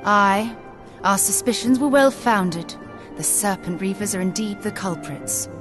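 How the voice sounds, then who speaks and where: A woman acting as a grave, composed female investigator from a fantasy video game. She speaks in measured, formal, old-fashioned phrasing at a middling pitch, with music playing behind her. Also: lightly acted. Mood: grave, matter-of-fact. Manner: formal, measured, matter-of-fact. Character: composed, grave adult female investigator and scholar, matter-of-fact. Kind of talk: dramatic fantasy game dialogue, archaic diction.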